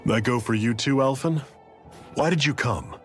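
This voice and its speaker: A man playing a stern, principled resistance mentor in a video game cutscene, speaking firmly and gravely. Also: medium pitch, faint music behind, close to an everyday voice. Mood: challenging, firm. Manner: firm, measured, admonishing.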